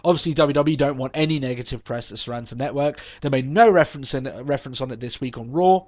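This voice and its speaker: A man's voice: mid-range voice, quick pace, darkish tone. A man with a cold, hosting a podcast and chatting casually in an upbeat, rambling way with lots of ums and restarts. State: sniffly from a cold. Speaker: podcast host. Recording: dull lo-fi podcast recording.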